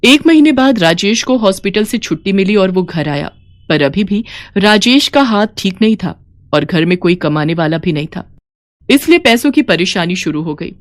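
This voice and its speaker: A woman's voice: mid-range voice, expressive, moderate pace, no room sound. Woman narrating a story, dry close sound.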